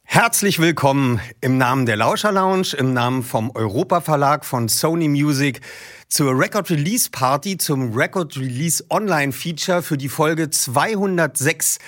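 A man's voice: mid-range voice, expressive, normal speed, a bit bright, no room sound, studio quality. A man presenting to listeners as a podcast host.

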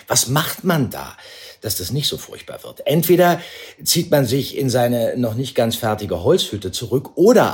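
A man giving an informal holiday greeting in a playful, expressive manner. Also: mid-range voice.

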